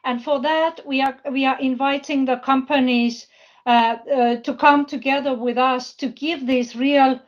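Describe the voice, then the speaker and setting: A woman giving an unscripted presentation at an online business forum, speaking haltingly and often searching for words. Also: high voice, fairly even intonation. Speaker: presenter, university project representative. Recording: dull, lo-fi online call audio.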